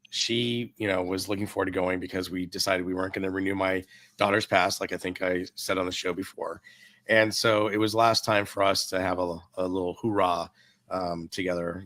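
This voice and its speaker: A man with a hoarse voice from a recent cold, telling a rambling story as a podcast guest. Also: low voice, no room sound. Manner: relaxed, rambling with fillers. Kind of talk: casual storytelling.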